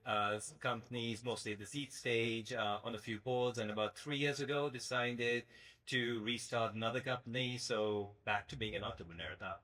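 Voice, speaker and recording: A man's voice: medium pitch, pushed and bright, low-quality recording. A man introducing himself on a panel with dry, self-deprecating humor. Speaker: panel judge, entrepreneur.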